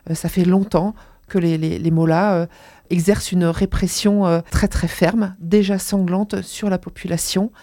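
A woman interviewer in a studio, talking briskly with many small hesitations. Her voice is mellow and the sound is dry and close. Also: fairly low pitch, fairly even intonation, no echo. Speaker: radio interviewer.

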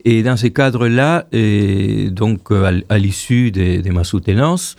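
A man in his sixties from Argentina, whose first language is Hebrew, telling stories slowly as a choir director being interviewed, often restarting his phrases. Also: lowish voice, gravelly, a little mellow, no echo, clean studio sound. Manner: unhurried storytelling, repeats and restarts phrases. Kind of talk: radio interview.

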